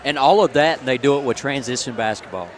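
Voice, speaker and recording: A man's voice: mid-range voice, fast talker. A man giving casual, folksy basketball color commentary on a live radio broadcast. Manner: folksy, conversational, peppered with "man". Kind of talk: casual pregame analysis. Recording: noisy gym ambience behind live broadcast.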